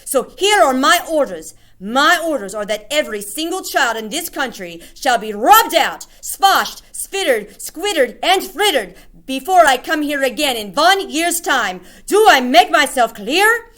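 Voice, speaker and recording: A shrill, screeching female witch leader who hates children and barks orders at her followers. Her voice swings wildly and hits piercing highs. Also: wildly swooping pitch, a little slow, few pauses, a bit bright, full character voice. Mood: commanding. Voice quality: shrill, screaming, spitting out words. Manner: ranting, commanding, hateful of children. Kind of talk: audiobook character voice.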